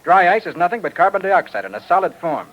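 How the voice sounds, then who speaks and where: A shrewd American private detective in an old radio drama, talking fast with lively swings in pitch. He is crisp, assured and used to taking command. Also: muffled recording. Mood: confident, commanding. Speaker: lead actor in a detective radio series. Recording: old radio show recording.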